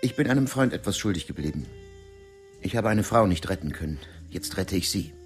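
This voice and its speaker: An actor playing a quiet, hospitable man who shelters fugitives in a German radio drama. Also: low-pitched, animated, a little fast, faint music behind. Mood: solemn.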